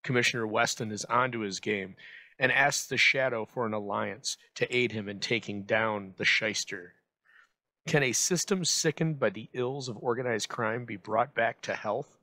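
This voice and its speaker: A man narrates a scripted introduction as presenter. His voice is bright and forceful at a middle pitch, recorded very dry. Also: moderate pace, dry recording.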